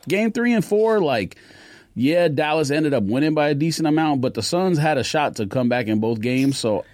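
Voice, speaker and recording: A man with a slightly high voice and very animated pitch speaks as a podcast co-host. He is blunt and informal, chatting casually as in a relaxed sports discussion. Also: moderate pace.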